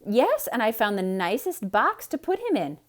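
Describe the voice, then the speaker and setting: A naive, good-natured storybook housemaid, put on by a woman reading aloud. She is chirpy and earnest, with a raised, lively voice that bounces around in pitch. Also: moderate pace, heavy background noise. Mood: innocent, eager. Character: cheerful, naive, literal-minded housemaid. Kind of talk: theatrical children's storybook read-aloud.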